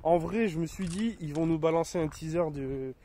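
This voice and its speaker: Rambling French gamer with a cold. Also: male voice, on the high side, moderate pace, dark tone, slight background noise. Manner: rambling, many fillers and restarts. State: has a cold. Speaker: gaming YouTuber. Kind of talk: casual chat over gameplay. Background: game gunfire and effects.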